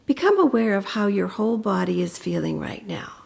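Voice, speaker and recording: A woman narrating a guided meditation in a gentle, soothing, instructive manner with pauses, her voice turning breathy at the ends of phrases. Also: mid-range voice, big pitch swings.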